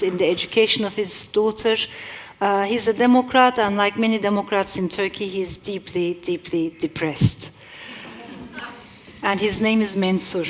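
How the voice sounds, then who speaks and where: Woman author, non-native English speaker, middle-aged, reflective, measured pauses, mid-pitched. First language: not English. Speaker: author and guest. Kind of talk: thoughtful talk and reading from her novel. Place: live event stage.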